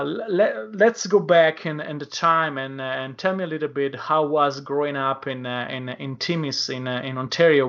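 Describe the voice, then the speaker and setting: A man hosting a podcast interview, speaking in quick bursts with many fillers and grammar. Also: on the high side, a bit bright, dull audio. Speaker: podcast host.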